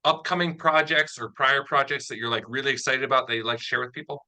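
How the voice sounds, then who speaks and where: Extremely bright-voiced man hosting an interview. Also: mid-range voice, steady tone, quick pace. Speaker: interviewer and audio drama creator. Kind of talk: casual interview chat.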